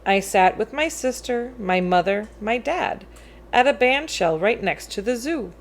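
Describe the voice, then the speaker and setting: A woman reading a rhyming children's picture book aloud, with expressive, deliberate phrasing that leans on the rhymes. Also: on the high side, slight background noise. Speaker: read-aloud storyteller.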